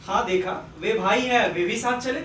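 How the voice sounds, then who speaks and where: A man on stage playing a forgiving, gently moralising father, speaking politely with measured insistence. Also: high-pitched. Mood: polite, insistent. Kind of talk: Hindi stage play acting. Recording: echoey, distant stage recording with noise.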